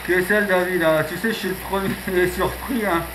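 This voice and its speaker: A man's voice: high voice, steady tone, moderate pace. A man chatting casually as an amateur radio operator, peppering his talk with radio jargon and verbal tags. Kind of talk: casual radio contact chat. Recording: echoey, noisy shortwave radio contact recording.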